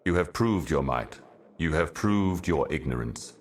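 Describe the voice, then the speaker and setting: Casting: ancient cryptic cosmic being, fantasy game. Bottomless bass, solemn, portentous, measured prophet of doom. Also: male voice, lively intonation, exaggerated voice. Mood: stern warning. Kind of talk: theatrical fantasy game monologue.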